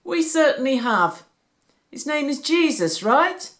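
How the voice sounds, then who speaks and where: A woman's voice: sits high, sing-song, a little slow, a bit bright, voice pushed up, exaggerated voice. A woman reading a storybook, voicing a kindly, amused male temple priest with an emphatic delivery.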